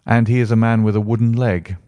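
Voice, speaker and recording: A man voicing a calm, shrewd gentleman detective in an audiobook, questioning in a courteous, measured way. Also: mid-range voice, no echo, lightly acted. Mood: probing, measured. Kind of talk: audiobook character voice.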